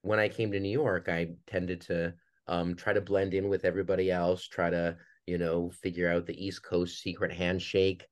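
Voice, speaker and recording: A man's voice: deep voice, level tone, normal speed. A middle-aged man with a Minnesota accent, talking earnestly and reflectively as an interview guest. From Minnesota, United States. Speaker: podcast guest, theater director. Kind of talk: conversational interview.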